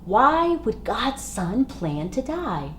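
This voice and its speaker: Woman, children's storybook reader, very lively pitch, unhurried pace, emphatic dramatic phrasing, some background noise. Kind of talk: expressive children's storybook read-aloud.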